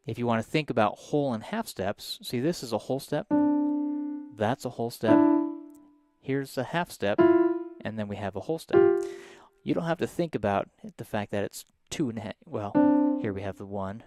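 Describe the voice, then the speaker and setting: A soft-spoken man, teaching a live piano lesson and explaining each step in a friendly way. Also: on the low side.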